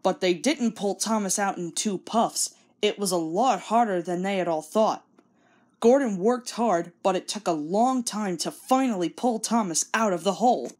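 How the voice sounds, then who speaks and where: A woman's voice: mid-range voice, normal speed, a little mellow. A woman reading a children's story aloud as scripted narration. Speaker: storybook reader.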